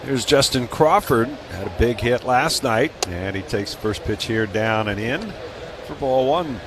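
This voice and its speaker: A male American baseball play-by-play announcer on a live radio broadcast, with clear ambient noise behind him. His voice sits at a medium pitch as he describes the game in radio commentary style. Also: expressive, measured pace.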